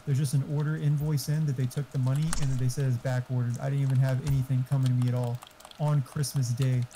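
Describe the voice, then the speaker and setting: A man's voice: medium pitch, little pitch movement, quick pace, mellow. A man chatting casually over an online game, talkative and profane, explaining tech. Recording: faint background noise.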